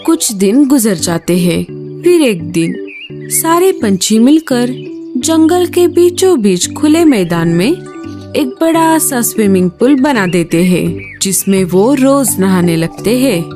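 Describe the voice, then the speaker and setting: Woman storyteller, children's cartoon narration, lively pitch, slow and deliberate, clear music bed. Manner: expressive, singsong.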